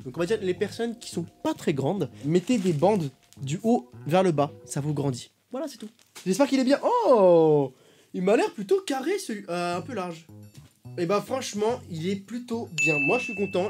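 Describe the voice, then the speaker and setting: A young man in his twenties trying on clothes for a vlog, chatty and animated, with quick reactions and self-mocking asides, speaking into a boom-arm studio mic in a small bedroom. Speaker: youtuber. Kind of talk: clothing try-on haul vlog. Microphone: studio mic on boom arm in front.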